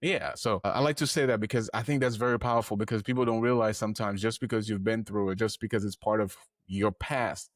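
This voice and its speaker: A man's voice: lowish voice, steady tone, fast talker, hardly any pauses, no echo, clean studio sound. A man hosting a heartfelt interview podcast, talking earnestly and rambling with fillers. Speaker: podcast host.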